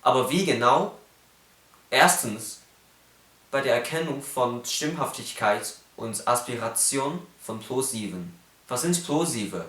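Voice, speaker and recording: Slow careful man with a Cantonese accent. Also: medium pitch, animated, a bit bright, echoey room. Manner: slow, careful, demonstrates sounds precisely. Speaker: YouTuber explaining phonetics. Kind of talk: casual educational vlog.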